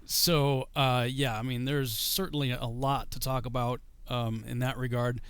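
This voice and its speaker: A man's voice: medium pitch, steady tone, a little slow, a bit bright, smooth, dry recording. A middle-aged man on a video call from his home study, explaining things in a loose, rambling way with many fillers and restarts. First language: English. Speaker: guest explaining scripture.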